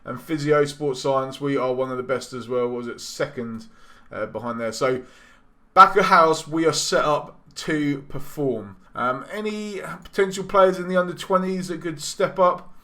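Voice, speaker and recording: A man giving casual, rambling commentary over a football management game, his voice going a little hoarse. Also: on the high side, normal speed, bright, forceful tone. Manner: chatty, rambling, with frequent ums and self-corrections. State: losing his voice. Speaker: gaming YouTuber.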